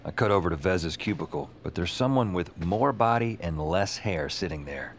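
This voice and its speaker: Deep, wry noir hero. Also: male voice, normal speed, a little hiss or hum. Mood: wry, storytelling. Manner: deadpan, sardonic. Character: wry, weary male noir 911 dispatcher narrator. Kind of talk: first-person noir narration, fiction podcast acting.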